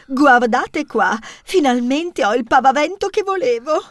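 A woman's voice: high voice, lively intonation, unhurried, no room sound, studio quality. A woman voicing a vain talking rose with an airy, self-satisfied manner. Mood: pleased.

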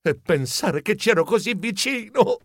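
Excitable, high-voiced mouse storyteller. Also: male voice, a little slow, breathy edge, no room sound, studio quality, exaggerated voice. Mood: eager, flustered. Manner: theatrical, expressive, comic exclamations. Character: excitable, bumbling, polite male mouse journalist telling his adventure. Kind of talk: dramatized children's storybook narration.

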